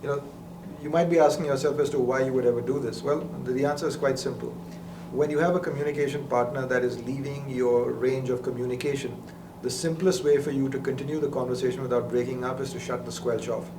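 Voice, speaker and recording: A man walks through a product's functions step by step in a methodical, explanatory way. His voice sits a little away from the microphone in a roomy space. Clear noise runs behind him. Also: medium pitch, fairly even intonation, fast. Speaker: product demonstrator. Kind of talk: unscripted instructional product walkthrough. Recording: roomy, distant mic with clear background noise.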